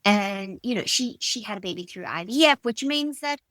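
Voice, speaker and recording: A middle-aged woman with a South African accent, answering in an interview with emphatic, expressive storytelling and personal anecdotes. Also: medium pitch, moderate pace, no room sound. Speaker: guest, stylist and designer.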